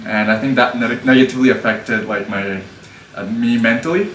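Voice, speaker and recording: A man's voice: mid-range voice, fairly even intonation, a little fast, fairly bright tone. Easygoing young man, roomy distant sound. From Japan. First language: Japanese. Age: 20s. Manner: easygoing, leans on filler "like". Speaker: interview guest. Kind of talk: casual interview talk. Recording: roomy, distant mic, faint background hum.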